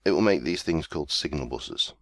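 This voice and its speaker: A man's voice: extremely low pitch, expressive, brisk, gravelly, a bit bright, no room sound. A man hosting a casual let's play, talking through his gameplay in a rambling, self-deprecating way while chesty with a summer cold.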